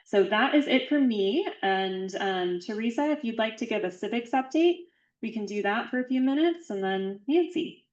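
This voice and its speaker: A woman's voice: a little high, lively intonation, moderate pace, darkish tone, reverberant, muffled recording. A woman hosting a membership meeting, giving friendly, organized announcements with a few fillers. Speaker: meeting host.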